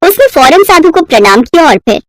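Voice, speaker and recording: High, animated woman storyteller. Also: brisk, dry recording. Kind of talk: scripted expressive children's story narration.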